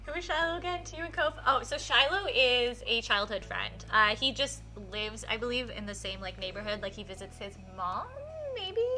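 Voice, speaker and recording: Woman game streamer, bright forceful voice, animated casual read-along with faint music behind. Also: fairly high pitch, moderate pace, few pauses. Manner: animated, reacts out loud to the story. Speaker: streamer playing a visual novel. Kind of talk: casual livestream chat and reading game text aloud.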